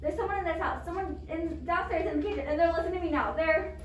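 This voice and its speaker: A woman's voice: very high voice, steady tone, fast. A woman acting a frightened, demanding, self-pitying bedridden wife in an amateur radio play, fretful and melodramatic. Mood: terrified. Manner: imperious, fretful, melodramatic pleading. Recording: roomy, distant microphone with faint noise.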